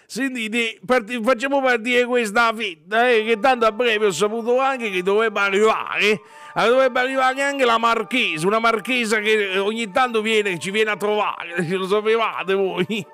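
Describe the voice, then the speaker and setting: A man acts out a down-at-heel nobleman in a comic costume radio play. The voice sits high, with a sharp, forceful edge. He is pompous and wordy, insisting fussily and giggling as he plays the grand host. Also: normal speed, faint music behind. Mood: chatty, fussy. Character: pompous, foul-mouthed, hospitable down-at-heel male duke. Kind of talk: comedic costume radio drama.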